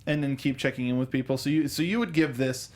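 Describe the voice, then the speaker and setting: A man's voice: medium pitch, quick pace. A man hosting a film discussion show in a casual, chatty, rambling way with joking asides.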